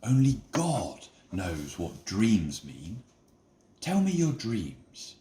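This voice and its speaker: A man's voice: on the low side, huge pitch swings, drawn-out words, dark tone, echoey room, a little hiss or hum, heavily acted. A man voicing Joseph, an earnest young prisoner in a Bible story, speaking emphatically and pleadingly. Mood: earnest, concerned.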